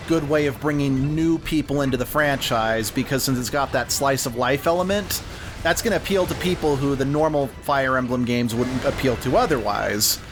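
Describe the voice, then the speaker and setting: A man giving casual let's play commentary, explaining trivia and opinions at length. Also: a little high. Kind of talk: casual gaming commentary chat.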